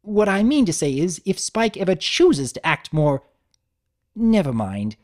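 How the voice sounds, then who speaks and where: A woman's voice: lowish voice, big pitch swings, unhurried, darkish tone, voice pushed up. Woman voicing a prim, theatrical unicorn lady. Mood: indignant. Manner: refined, emphatic, gushing. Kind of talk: dramatic audiobook reading.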